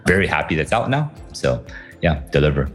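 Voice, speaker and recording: Very deep-voiced male interview guest. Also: sing-song, a little fast, fairly bright tone, soft background music. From Taiwan and the US. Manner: conversational, frequent uh fillers and restarts. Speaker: guest author. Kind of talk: casual interview answers.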